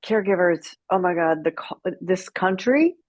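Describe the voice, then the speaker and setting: A woman's voice: on the low side, lively intonation, normal speed, darkish tone, no room sound. A woman speaking as an interview guest, telling her story in a candid, outspoken and emphatic way. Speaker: guest, author and caregiver advocate.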